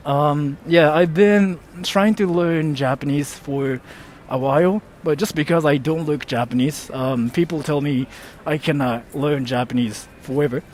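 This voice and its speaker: American male streamer in his twenties, light joking delivery, voice a touch high, faint noise in the background. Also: a little slow, a little mellow. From United States. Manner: joking, self-mocking, reads chat aloud. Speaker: streamer reading donations. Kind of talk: casual live stream chat.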